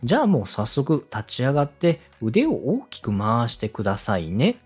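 A man's voice: mid-range voice, wildly swooping pitch, normal speed, a little mellow, slightly rough, faint music behind. A man hosting a show, politely giving step-by-step advice on presenting and slipping in example phrases. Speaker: radio director and podcast host giving advice.

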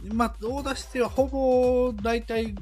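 A woman's voice: fairly high pitch, fairly even intonation, moderate pace, a little mellow. A woman with a Kansai accent chatting casually as a podcast co-host, explaining things and often chiming in with agreement. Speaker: podcast co-host and voice drama creator. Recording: background music under the talk. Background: music.

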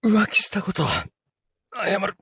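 A man playing a cowardly, cheating young boyfriend in a live audio drama, hesitant and pleading, with a husky, breathy voice. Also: high voice, very animated, unhurried, sharp tone, dry recording, full character voice. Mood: panicked, pleading.

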